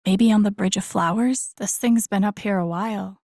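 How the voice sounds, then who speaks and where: A woman's voice: mid-range voice, normal speed, darkish tone, no room sound, clean studio sound, lightly acted. A woman playing an earnest, wry, vulnerable young video game heroine, in an introspective, understated inner-monologue style. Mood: thoughtful. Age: young adult.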